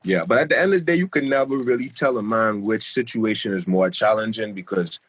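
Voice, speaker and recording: A man's voice: fairly low pitch, brisk, a bit bright. A young man with a light Bahamian accent mixed with South Florida, talking loosely as a podcast guest and often repeating himself. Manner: laid-back, rambling, repeats himself. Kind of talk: casual podcast chat.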